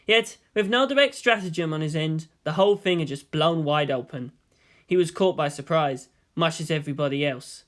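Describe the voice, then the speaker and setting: A man's voice: on the high side, moderate pace, a bit bright. A man reading scripted fiction aloud as an audiobook narrator.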